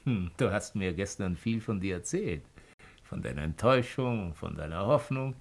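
A sly former governor of ancient Rome in a fifties radio play. His low voice swings widely as he draws out his stories with theatrical, insinuating relish. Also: animated, vocal fry, full character voice. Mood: teasing, insinuating. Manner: theatrical, insinuating, drawn-out storytelling. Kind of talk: 1950s German radio play acting.

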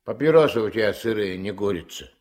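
An actor playing a gruff, stubborn, dry-witted riverboat captain with a folksy, grumbling manner in a 1970s Soviet radio play. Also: male voice, on the low side, expressive, a little fast, a little mellow, lightly acted. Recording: old mono radio play recording.

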